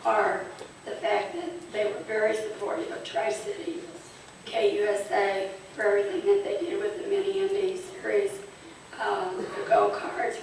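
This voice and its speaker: Hoarse woman presenting in an echoing hall. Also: medium pitch, a little hiss or hum. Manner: informal, chatty, a little halting. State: losing her voice, hoarse. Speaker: awards presenter at a fan club banquet. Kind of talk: speaking to a banquet crowd over a PA. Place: large banquet hall. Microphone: PA microphone recorded from a distance. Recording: distant echoey banquet hall.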